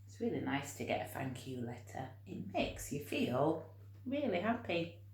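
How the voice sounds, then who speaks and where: A woman teacher reading and talking to young children in an expressive, encouraging way. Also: deep voice, measured pace, vocal fry. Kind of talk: storytime read-aloud and teaching for young children. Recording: roomy, echoing home recording.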